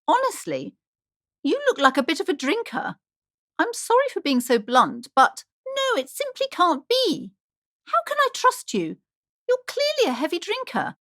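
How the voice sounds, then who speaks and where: An actress voicing a haughty, superstitious, high-strung middle-aged shopkeeper mother in a video game, with a theatrical, imperious, judgmental manner. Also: huge pitch swings, normal speed, a bit bright, exaggerated voice. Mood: suspicious, dramatic. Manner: theatrical, imperious, swooping between sweet and scolding.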